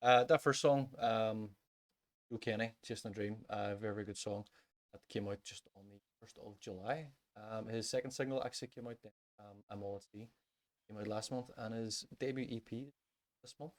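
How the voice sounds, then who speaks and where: A man's voice: lowish voice, fairly even intonation, moderate pace. A man with a Northern Irish accent chatting casually as co-host of a music podcast, with repetitive, emphatic phrasing. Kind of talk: casual chat about music.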